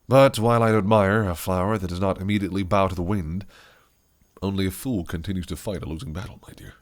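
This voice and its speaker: A man playing a deep, gravelly fae prince. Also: fast. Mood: teasing. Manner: silky, archaic, coaxing turning menacing. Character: possessive, scheming male fae prince bridegroom. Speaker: voice actor. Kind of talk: audio roleplay acting.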